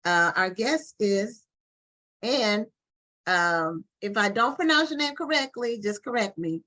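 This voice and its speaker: A woman's voice: mid-range voice, animated, takes it slowly, pushed and bright. Talk show host woman. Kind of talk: live online book show interview.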